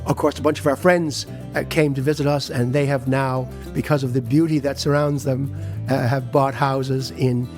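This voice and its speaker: A man's voice: mid-range voice, little pitch movement, moderate pace, darkish tone, soft background music. An older man with a Newfoundland accent, introducing himself and talking casually about his own life. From Newfoundland, Canada. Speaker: actor and writer.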